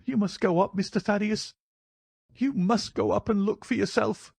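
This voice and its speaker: An elderly housekeeper as a male narrator puts her on, pitched far up from a man's range. She is fretful and gushing, pleading her way through a storybook tale with a dry, close sound. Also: moderate pace, vocal fry, a little mellow, breathy edge, no echo, heavily acted. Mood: anxious pleading. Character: frightened, effusive old woman housekeeper. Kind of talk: audiobook character voice.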